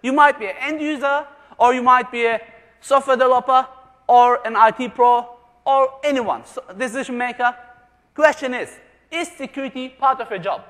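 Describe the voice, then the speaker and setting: A man's voice: very high voice, moderate pace, fairly bright tone. A man from Germany giving a jokey conference presentation, quizzing the live audience with questions. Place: large conference room. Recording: long reverb of a large hall.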